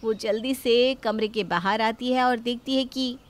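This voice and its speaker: A woman's voice: a little high, steady tone, measured pace. A woman telling a scripted moral story as a dramatic narrator.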